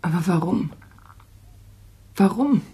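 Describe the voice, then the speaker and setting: A woman's voice: on the low side, lively intonation, slow pace, dark tone, slightly rough, exaggerated voice. An actress playing a devoted, wronged woman in a German radio play, pleading and bitterly reproachful in a dramatic manner. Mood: pleading, wounded.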